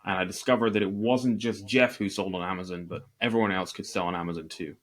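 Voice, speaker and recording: A man's voice: low-pitched, fairly even intonation, fast. A man from Northeast Scotland with a Scottish accent, a podcast guest telling his story in a candid, self-deprecating, conversational way. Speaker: podcast guest, e-commerce entrepreneur. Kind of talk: conversational interview answers.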